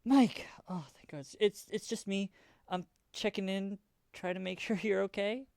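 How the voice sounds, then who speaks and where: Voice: mid-range voice, steady tone, unhurried, vocal fry, a little mellow, no room sound, clean studio sound. Actor as anxious, crackly-voiced helpful friend. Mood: relieved, worried. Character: Sam, anxious, helpful acquaintance checking in. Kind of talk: audio drama acting.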